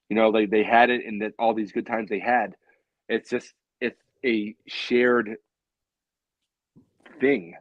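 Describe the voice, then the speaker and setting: A man's voice: fairly low pitch, dry recording, muffled recording. A man in his late thirties chatting casually as a show co-host, in a rambling way that repeats phrases for emphasis. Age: late 30s. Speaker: co-host of a beer hangout show. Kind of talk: casual chat.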